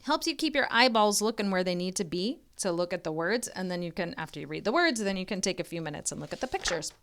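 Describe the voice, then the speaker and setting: A woman reading a children's story aloud as a tutor, expressively and deliberately, with informal, encouraging asides to young listeners. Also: lowish voice, lively intonation, a bit bright, a little hiss or hum. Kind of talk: modeled children's read-aloud with chatty asides.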